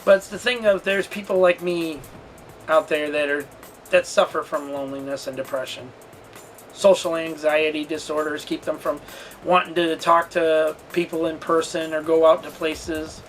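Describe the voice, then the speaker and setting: A man telling a personal story as a vlogger, casual and candid, talking in a halting, rambling way. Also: fairly high pitch, fairly even intonation, faint music behind, poor audio. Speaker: storytelling vlogger and channel host.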